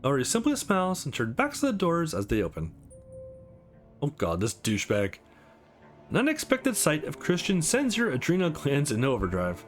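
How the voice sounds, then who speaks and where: A man's voice: a little high, wildly swooping pitch, moderate pace, soft background music. A man reading a visual novel aloud as a let's play host, dropping in casual asides between the lines.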